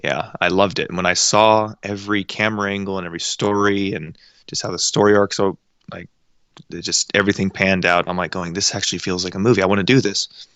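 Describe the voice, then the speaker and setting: Deep-voiced young man, hesitant and modest. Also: little pitch movement, a little fast, a bit bright, smooth, dry recording. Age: late teens. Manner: hesitant, modest, many ums. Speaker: guest voice actor and director. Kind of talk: casual interview chat.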